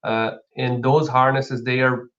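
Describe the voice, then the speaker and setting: A man's voice: mid-range voice, flat delivery, unhurried, a bit bright, slight background noise. Brazilian-accented man, slightly muffled live stream. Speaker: company founder and co-host. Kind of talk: informal live product talk.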